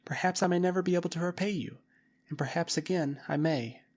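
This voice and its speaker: A man's voice: high-pitched, a little fast, a little mellow, voice pushed up. A man voicing a decisive young woman who firmly leads a group in danger. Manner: firm, decisive. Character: commanding young woman, cool-headed leader.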